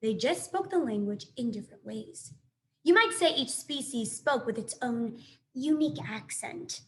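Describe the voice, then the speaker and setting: A woman teacher reading a children's story aloud with expressive, carefully phrased narration and dramatic emphasis. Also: fairly high pitch, moderate pace, a little hiss or hum.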